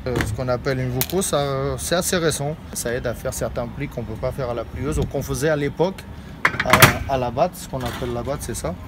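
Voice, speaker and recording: A man from France who works as a roofer, talking casually in an interview, halting with many fillers and laughing easily. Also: medium pitch, very noisy. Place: Paris rooftops outdoors.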